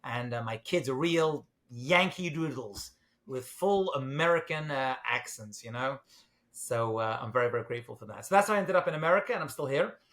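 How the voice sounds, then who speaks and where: A man's voice: mid-range voice, animated, fairly quick, fairly bright tone. A middle-aged man from London with a British accent, talking as a guest in an interview, self-deprecating and joking, with many fillers. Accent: British English, London. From England. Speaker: rabbi, guest. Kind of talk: casual interview talk.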